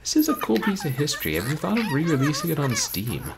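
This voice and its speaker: A man's voice: fairly high pitch, huge pitch swings, fast, gravelly. A man in his thirties chatting casually to livestream viewers as host, wry and self-deprecating, with wordy phrasing. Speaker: livestream host, video game streamer. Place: small bedroom, indoors. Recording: noisy home webcam stream.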